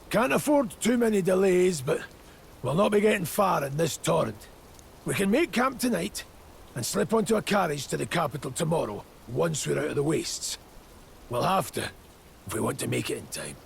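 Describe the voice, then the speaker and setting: Pragmatic military leader, very gravelly, fairly high-pitched for a man, brisk and commanding. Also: animated, slight background noise. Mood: dry, pragmatic. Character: seasoned, pragmatic male soldier leading comrades, gruff. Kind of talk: video game voice acting.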